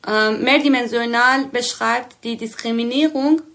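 A woman's voice: on the high side, unhurried, a bit bright. A woman reading an explanation, speaking directly to the listener. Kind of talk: scripted explainer narration.

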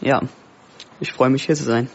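A man from Afghanistan, nervous and excited on his first live radio appearance, speaking with many fillers. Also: medium pitch, normal speed, gravelly, slight background noise. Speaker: guest presenter. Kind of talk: casual radio talk. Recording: dull lo-fi radio sound.

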